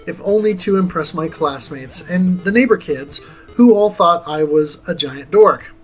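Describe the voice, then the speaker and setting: A middle-aged American man reading a scripted review as host, with a theatrical, deliberate delivery. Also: high-pitched, a bit bright, poor audio. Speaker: host of a retro game review series. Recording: music under the voice. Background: music.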